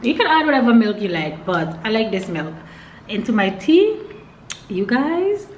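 A woman's voice: fairly high pitch, sing-song, normal speed, roomy sound, slight background noise. A young woman vlogger chatting casually and informally to her viewers. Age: young adult. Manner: informal, addressing viewers as y'all. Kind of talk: casual vlog chat to camera.

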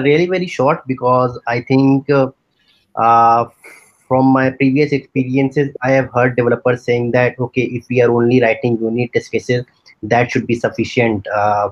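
A man asks an informal question as an audience member. His voice sits at a medium pitch, and the call audio is dry and dull. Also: steady tone, normal speed, dry recording.

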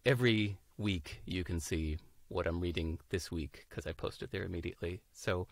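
Guest, fifties, American man, lowish voice, muses aloud on a dry video call. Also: moderate pace, no room sound. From United States. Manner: thoughtful, searching for words, laughs easily. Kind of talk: casual interview chat. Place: home room, indoors.

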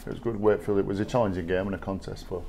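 Middle-aged English coach at a press table, deep and dark-toned, halting and measured. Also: male voice, fairly even intonation, creaky. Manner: measured, halting, with frequent fillers and restarts. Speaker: rugby league head coach. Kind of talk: post-match press conference answers. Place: small press room with sponsor backdrop, seated at table. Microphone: table microphone.

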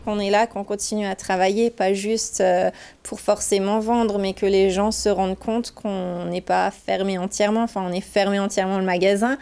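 A woman's voice: medium pitch, fairly even intonation, normal speed, creaky, a little mellow, clean tone. A woman in her forties, a florist, earnestly explaining how customers can still order in a local TV interview inside her shop.

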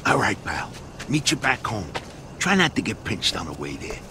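An actor playing a cocky, streetwise American small-time crook with a gravelly voice. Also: male voice, on the low side, sing-song, normal speed, noisy background. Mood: dry, brisk.

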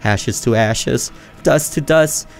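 A man's voice: medium pitch, slow pace, pitched above the performer's own voice. A man acts out an earnest boy in a horror game, a protective older brother who is scared himself. His voice is raised a little, with faint music behind. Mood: fearful chanting.

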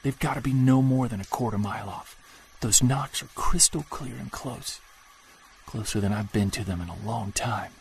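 A man's voice: mid-range voice, moderate pace, gravelly, slight background noise, close to an everyday voice. A man playing an awed Bigfoot hunter in the woods. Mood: excited. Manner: hushed, excited, talking to his recorder. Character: obsessed male Bigfoot hunter alone in woods. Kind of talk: audio drama field-recorder monologue. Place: woods at night and day.

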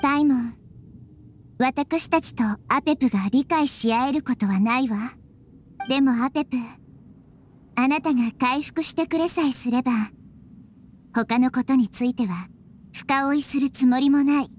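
A woman voicing a small, wise and gentle girl deity in a video game, speaking softly and with composure. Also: high voice, moderate pace, long pauses, a little hiss or hum, natural voice.

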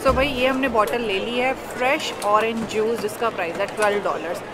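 A woman's voice: a little high, moderate pace. A young woman vlogging at an outdoor farmers market, chatting with viewers and mixing in English as she holds the camera at arm's length. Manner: chatty, addresses viewers as "bhai", mixes English in. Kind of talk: casual vlog chat. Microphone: handheld camera at arm's length. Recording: loud music and crowd around.

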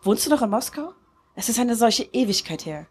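Woman acting a russian lady musician, bit husky, easily startled, nostalgic, live radio drama. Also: fairly high pitch, animated, brisk, darkish tone. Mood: delighted. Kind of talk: live stage audio drama reading. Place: tented festival stage.

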